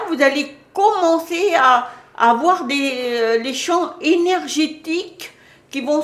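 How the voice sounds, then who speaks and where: A woman's voice: high voice, fairly bright tone, echoey room. A woman giving a casual spiritual talk to her viewers, hesitant, with many fillers and restarts. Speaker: spiritual channel host.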